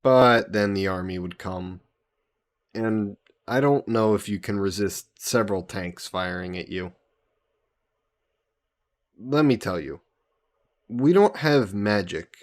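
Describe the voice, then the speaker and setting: A young man playing an easygoing, teasing fantasy hero from Earth in a roleplay audio, chatting fondly to the listener. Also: lowish voice. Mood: explaining, playful. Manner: unhurried, chatty, playfully teasing.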